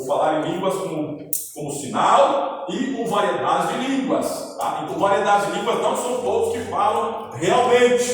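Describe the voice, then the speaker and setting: A high-pitched man with a Brazilian accent teaches his congregation, tossing in quick check-ins and stumbling restarts. He sounds distant from the microphone, with long, booming reverb from a big hall and a faint hiss behind him. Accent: Brazilian Portuguese. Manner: repeats "tá?" to check with listeners, many restarts. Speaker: preacher. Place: large church hall. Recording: echoey church hall from far off, light noise.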